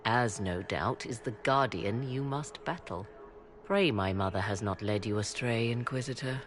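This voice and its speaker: A woman voicing an aloof, proud witch who speaks in a cool, measured, dryly ironic way. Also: a bass voice, a little hiss or hum.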